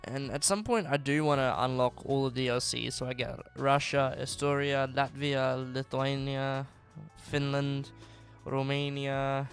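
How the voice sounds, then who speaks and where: A man's voice: mid-range voice, steady tone, measured pace, faint music behind. A man with an Australian accent chatting casually and rambling on a live game stream, often trailing off and restarting. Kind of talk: casual live-stream chat while driving.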